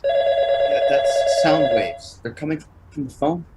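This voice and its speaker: A man's voice: on the high side, slow pace, very noisy. A man playing an impatient, literal-minded detective's partner in a comic drama, with a dry, nagging manner. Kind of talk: naturalistic comic adaptation acting.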